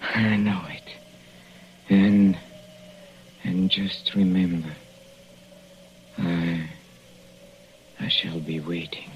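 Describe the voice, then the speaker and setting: Sly, halting professor, old radio. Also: male voice, low-pitched, level tone. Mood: weak, ominous. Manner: halting, hesitant, repeating words, falsely courteous. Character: sly, menacing husband, a professor feigning death by poison. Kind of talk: 1940s radio acting. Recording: dull, noisy 1940s radio recording.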